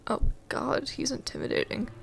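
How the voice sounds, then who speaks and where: A woman's voice: high voice, huge pitch swings, normal speed, gravelly, noisy background. A woman giving casual commentary as she plays through a video game. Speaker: gaming video creator.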